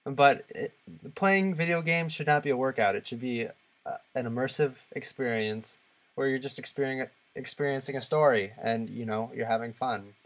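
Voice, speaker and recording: A man speaks as a podcast co-host in a loose, conversational way. His delivery is halting, and he often searches for the next word. His pitch sits in a middle male range. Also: a bit bright. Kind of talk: casual discussion of video games.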